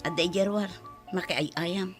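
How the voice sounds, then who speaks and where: A woman's voice: lowish voice, takes it slowly, faint music behind. A woman playing a practical, sociable wife and mother in an Ilocano radio drama, homely and conversational, with an Ilocano accent. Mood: matter-of-fact.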